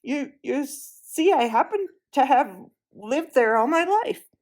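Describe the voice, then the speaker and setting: A woman voicing a stout, stubborn non-human marsh creature who speaks bluntly and a bit haltingly, in a storybook reading. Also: high voice, animated, darkish tone, voice pushed up. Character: gloomy, stubborn marsh-wiggle, stout and earnest.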